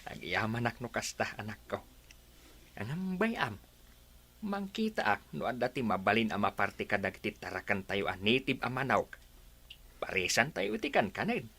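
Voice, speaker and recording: A man's voice: lowish voice, sing-song, moderate pace, creaky, pushed and bright, slightly rough, full character voice. A man acting a humble, affectionate, apologetic father in a radio drama. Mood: tender, apologetic. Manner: apologetic, tender. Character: humble, affectionate poor father of a grown daughter.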